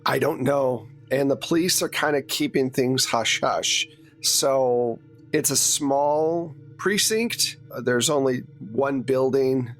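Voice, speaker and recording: A man playing a puzzled, candid small-town morgue worker in a tabletop game, explaining things casually and haltingly. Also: mid-range voice, soft background music. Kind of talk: improvised tabletop roleplaying dialogue.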